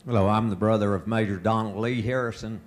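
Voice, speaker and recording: A man's voice: fairly low pitch, steady tone, fast, very noisy. A man with a Texan accent, from Texas, brother of a missing pilot, recalling a personal memory at a family meeting. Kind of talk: personal recollection.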